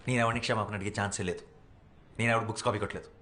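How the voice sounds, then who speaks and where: Indignant accused director,; rapid, lowish voice, faint film music behind. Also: male voice, steady tone, bright, forceful tone, a little hiss or hum. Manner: indignant, insistent denials. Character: defiant young male film director, accused.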